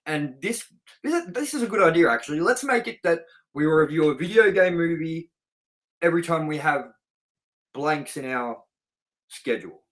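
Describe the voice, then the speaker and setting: A man with a somewhat higher-pitched voice hosts a film review show. He talks casually and off the cuff, addressing listeners directly in an informal, chatty way. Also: animated, normal speed, fairly bright tone. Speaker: co-host of a movie review show. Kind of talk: casual unscripted chat and show sign-off.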